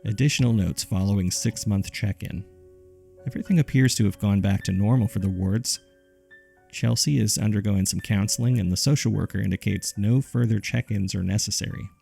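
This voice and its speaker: A man with a gravelly, husky voice reading scripted horror case files in a measured, subdued way, as narrator and host. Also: fairly low pitch, warm tone, faint music behind.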